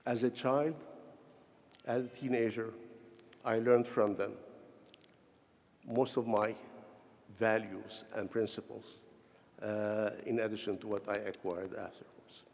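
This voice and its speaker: Man, Syrian Arabic accent, formal convocation speech, unhurried pace, pauses between short phrases, long hall reverb. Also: fairly low pitch, flat delivery, creaky, a little mellow. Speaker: honorary doctorate recipient giving convocation address. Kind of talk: formal prepared ceremonial speech. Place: large convocation hall, speaking to graduates.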